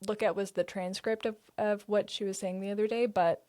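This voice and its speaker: A woman's voice: medium pitch, fairly even intonation, a little fast, mellow. A young woman from Las Vegas co-hosting a podcast in a giggly, self-deprecating way with many fillers. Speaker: podcast co-host. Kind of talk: casual podcast chat.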